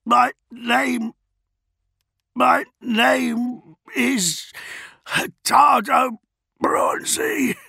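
A man voicing a tortured, bloodied male prisoner in an audiobook, defiant and halting, with a wheezing, ragged breath. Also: extremely high pitch, fairly even intonation, vocal fry, sharp tone, slightly rough, no room sound, studio quality, pitched above the performer's own voice, exaggerated voice. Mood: pained defiance. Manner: halting, strained.

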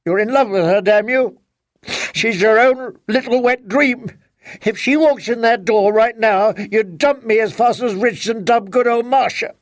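A man voicing a bitter, furious wife who shouts accusations through tears, in an audiobook. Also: measured pace, dry recording. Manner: shrill, accusing, near screaming. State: crying. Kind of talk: audiobook character voice.

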